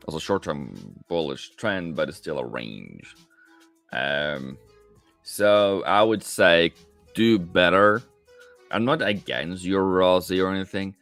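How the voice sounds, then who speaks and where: A low-voiced man with a gravelly edge hosts a live trading stream. He talks in a lively, up-and-down way and chats casually with viewers, calling them mate. Faint music plays behind him. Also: no room sound. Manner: casual, chatty, answers viewers directly, calls them mate. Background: faint background music.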